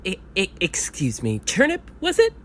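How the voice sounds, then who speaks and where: A man playing a polite support group leader who moderates with halting fillers and hesitations. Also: sits high, huge pitch swings, a little hiss or hum, pitched above the performer's own voice. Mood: polite puzzlement. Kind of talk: amateur audio play acting.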